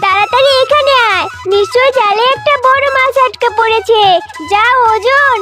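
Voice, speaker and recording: A woman acts out an anxious orphan girl looking out for her little sister. Her cartoon voice is high, with music playing strongly behind it. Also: normal speed. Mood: urgent. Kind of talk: animated children's cartoon dialogue.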